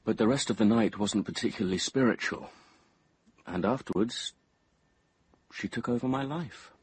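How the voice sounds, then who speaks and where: Young british guy in a radio play, low husky voice, earnest, hesitant, smitten and uneasy. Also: normal speed. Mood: brooding, reflective. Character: earnest, infatuated, uneasy young man telling his story. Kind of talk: radio drama acting with confessional first-person narration.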